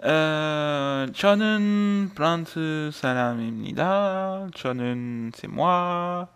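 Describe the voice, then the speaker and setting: A man's voice: fairly high pitch, normal speed, fairly bright tone, clear, resonant tone. A French-speaking man co-hosting a casual Korean lesson in a joking, self-mocking manner. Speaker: co-host of a Korean language lesson. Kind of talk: casual teaching with bantering chat.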